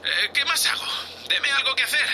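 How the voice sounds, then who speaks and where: A man's voice: very high voice, lively intonation, brisk, bright, forceful tone, slight background noise, full character voice, poor audio. A man playing a nervous, hapless young spaceship crewman in an audio drama, stammering and hesitant. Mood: jittery. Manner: stammering, hesitant, breathy sighs.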